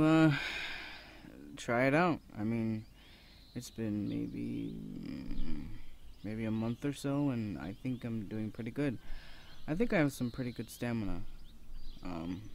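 A man's voice: mid-range voice, gravelly, darkish tone, a little hiss or hum. A young man playing a shy, nerdy college student in an ASMR roleplay, hesitant and flustered as he rambles nervously. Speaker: voice actor. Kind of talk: ASMR boyfriend audio roleplay. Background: birds and outdoor ambience.

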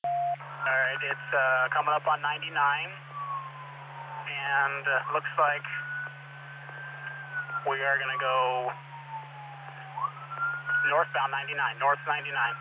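A man working as a state trooper, giving terse, halting pursuit reports over police radio. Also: mid-range voice, level tone. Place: moving patrol car. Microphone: police radio microphone. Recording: noisy narrowband police scanner radio.

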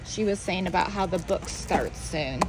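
A woman's voice: fairly low pitch, moderate pace, soft background music, poor audio. A woman giving casual, chatty running commentary for a shopping vlog, thinking aloud as she goes. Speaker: family shopping vlogger. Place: large indoor warehouse store. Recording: clear background noise of warehouse store.

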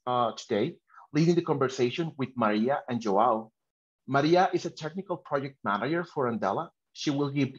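A man's voice: a little high, breathy edge. A man hosting a webinar over a video call, reading a prepared presentation with some hesitant restarts. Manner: reads from a script with hesitant restarts. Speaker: host. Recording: slightly muffled video call audio.

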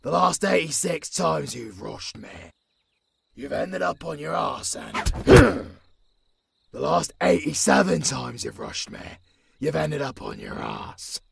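A man playing a gruff, centuries-old werewolf mentor in an audio roleplay, with a British accent, a raspy voice and a stern, scolding manner. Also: fairly high pitch, big pitch swings, slow, crowd noise, heavily acted. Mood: stern, mocking. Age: older. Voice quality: raspy, gravelly. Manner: stern, swearing, scolding drill-master.

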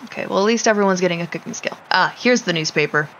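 A woman's voice: lowish voice, fast talker, dull audio, background noise. A woman giving casual, wry gameplay commentary, talking to her game characters as she plays. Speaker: gaming streamer.

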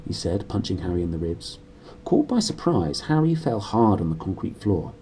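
A man in his thirties with a British accent, reading a storybook aloud in an animated way. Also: on the low side, slight background noise. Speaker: book reader. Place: small room indoors.

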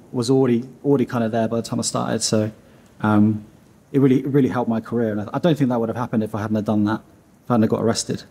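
A man in his thirties, from Iceland, talks through a seated interview in a dim studio room. His voice is warm and mellow with a slightly husky edge. He rambles and restarts, leaning heavily on fillers. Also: medium pitch. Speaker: animation studio founder.

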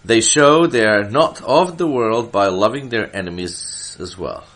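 A man from Serbia, a church elder, delivering Bible teaching from prepared notes in a deliberate way, stressing his phrases. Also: lowish voice, fairly bright tone.

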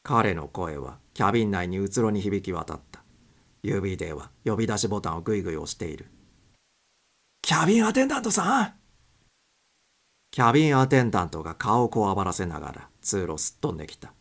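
A man with a low-pitched voice narrates a suspense story. He reads from a script as an audiobook narrator, his voice sitting deep in the male range. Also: lively intonation, fast.

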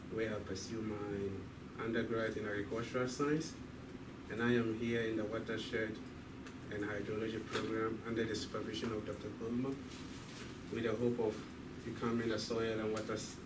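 Low, flat-voiced young man from Ghana. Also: background noise. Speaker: researcher. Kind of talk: self-introduction to a class. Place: small classroom with hard walls. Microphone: far camera. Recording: echoey room from a distant camera.

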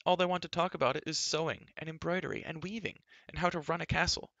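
A man's voice: on the high side, steady tone, quick pace, gravelly, pushed and bright, no room sound, highs cut off, pitched above the performer's own voice. A man voicing a spirited, headstrong, talkative young girl in a storybook, chattering emphatically and with indignation. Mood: eager, chattering. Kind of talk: acted audiobook dialogue.

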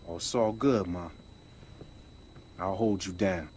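Cool young street hustler. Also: male voice, deep voice, slow, a little mellow, slight background noise. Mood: reassuring. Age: young adult. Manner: slangy, laid-back, self-assured. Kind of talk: video game cutscene acting.

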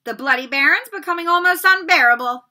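A woman's voice: extremely high pitch, fairly quick, sharp tone, pitched above the performer's own voice, exaggerated voice. A woman voicing a pompous old male ghost who speaks formally and gets easily miffed. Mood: rousing. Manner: formal, fussy and stiff.

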